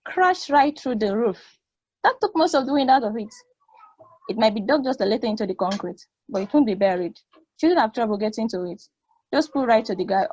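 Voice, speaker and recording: A woman playing an excitable friend giving guidance in a table read of a stage play. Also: fairly high pitch, breathy edge, lo-fi sound. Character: excitable woman guiding her friend over the radio link.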